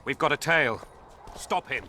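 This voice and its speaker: A man's voice: medium pitch, expressive, measured pace, soft background music. A man voicing a belligerent, hot-tempered fighting man in a video game, aggressive and menacing. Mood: furious. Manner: aggressive, shouting.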